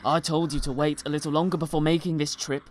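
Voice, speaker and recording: Bitter, berating family man for audio drama male actor, scornful, slightly high voice. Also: level tone, quick pace, lightly acted. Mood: angry, scornful. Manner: scolding, bitter.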